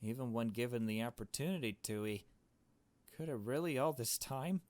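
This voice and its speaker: A man's voice: medium pitch, normal speed, darkish tone, no room sound. A man reading a visual novel aloud as narration, as a player commenting on the story along the way.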